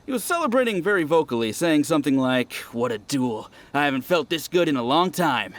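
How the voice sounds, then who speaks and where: A man's voice: on the high side, very animated, a little fast, slight background noise. An actor voicing an eager, chatty young villager man in a game, who loves telling what he saw.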